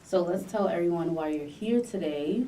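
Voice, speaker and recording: A woman with a low, mellow voice hosts the interview, chatty and quick to laugh. She sits a little away from the mic, and a faint hiss runs underneath. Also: far from the mic. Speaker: podcast host. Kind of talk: casual interview chat.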